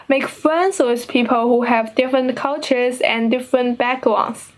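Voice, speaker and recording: A young woman from China with a Chinese accent, an international student giving an earnest, carefully paced assembly speech in her second language. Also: a little high. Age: young adult. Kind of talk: prepared school assembly speech.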